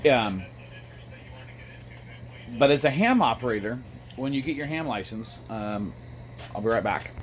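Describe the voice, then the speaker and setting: A man giving an informal, halting explanation to camera as a hobbyist radio vlogger. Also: mid-range voice, very animated. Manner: halting, explanatory, apologetic asides. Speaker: amateur radio operator and vlogger. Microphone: phone front camera. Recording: noisy phone recording with background radio. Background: two-way radio sounding in background.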